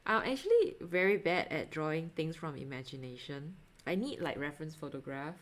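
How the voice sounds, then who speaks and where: A young adult woman with a Singaporean accent, chatting casually on a livestream as she draws, in a halting, self-deprecating, giggly manner. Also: deep voice, big pitch swings. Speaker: art livestreamer. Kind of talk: casual livestream chat while drawing.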